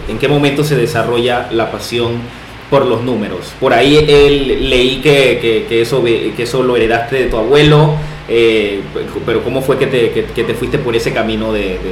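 Through room echo and clear background noise comes a man with a mid-pitched voice. He is a casual interviewer who builds his questions haltingly and often affirms what he hears. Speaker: interviewer, host.

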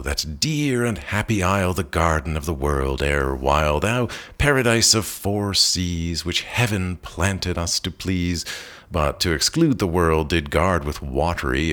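A man with an extremely deep voice reads a long poem aloud. He takes it slowly and with expression, phrasing each line in a measured way, and now and then he restarts a word. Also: fairly bright tone. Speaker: poetry reader. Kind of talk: slow dramatic poetry reading.

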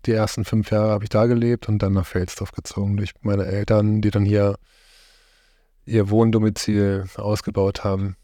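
A middle-aged man from Germany, interviewed about his life, speaks in a relaxed, conversational way, often hesitating and searching for his words. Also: fairly low pitch, normal speed, no room sound, studio quality. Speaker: interviewee, farm host.

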